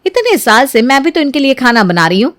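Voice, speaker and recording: A woman's voice: high voice, big pitch swings, fairly quick, a bit bright. A woman storyteller voicing a jealous, argumentative young housewife who complains and mocks her in-law. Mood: bitter, grumbling. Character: jealous, sarcastic elder daughter-in-law, young adult housewife.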